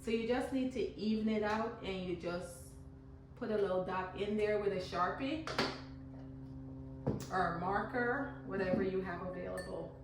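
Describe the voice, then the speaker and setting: A woman's voice: medium pitch, moderate pace, background noise. Chatty Guyanese woman in an echoey garage. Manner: chatty, loosely repeats herself while working. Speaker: candle maker. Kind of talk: casual how-to demonstration. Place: home garage. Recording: echoey garage, mic far off. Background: passing cars and lawn mowing.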